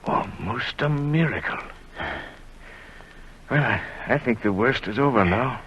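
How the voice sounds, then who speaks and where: A man playing a calm, reserved doctor who speaks in a measured, slightly hesitant way, in 1940s radio acting style on a lo-fi old recording. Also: medium pitch, husky. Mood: grave.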